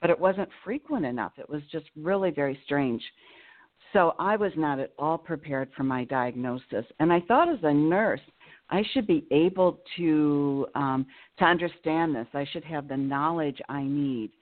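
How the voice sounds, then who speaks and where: A woman's voice: deep voice, lively intonation, normal speed. A woman from Illinois, a nurse and cancer survivor, telling her story as a guest calling in to a radio interview. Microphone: phone. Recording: tinny phone line.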